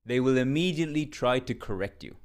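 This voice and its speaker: A man delivers a quick lesson straight to the camera. His voice sits at an ordinary middle pitch, and he lectures with emphatic, mock-indignant firmness. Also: expressive. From Ireland. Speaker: English teacher. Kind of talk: direct-to-camera short lesson.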